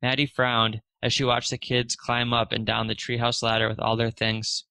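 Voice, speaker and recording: A man reads a children's storybook aloud in a bright, forward voice. His delivery is expressive, lifting for the characters' lines. The sound is dry, with very little room around it. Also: mid-range voice, normal speed, gravelly, clean tone, no echo. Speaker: narrator reading a children's story.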